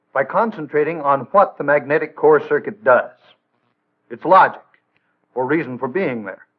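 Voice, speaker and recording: Tinny vintage American training-film narrator, male. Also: mid-range voice. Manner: measured, didactic, precise enunciation. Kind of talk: scripted instructional narration, 1960s training film. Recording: band-limited, tinny vintage film soundtrack.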